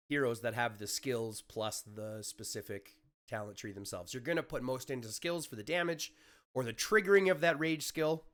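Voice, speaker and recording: A man with a slightly raspy voice, still getting over an illness, walking viewers through a strategy game in a chatty way, often correcting himself with fillers. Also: medium pitch. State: recovering from illness. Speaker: gaming YouTuber. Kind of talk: casual game guide commentary.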